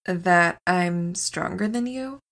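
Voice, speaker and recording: An actress playing a nonchalant, teasing wife who is secretly a superstrong heroine, in an audio comedy drama. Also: female voice, on the low side, slow pace, a bit bright. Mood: breezy.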